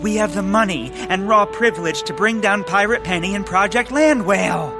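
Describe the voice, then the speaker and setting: An actor playing a pompous, bumbling rich eccentric who makes grand speeches in an audio drama. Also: male voice, high voice, moderate pace, over music. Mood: grandiose.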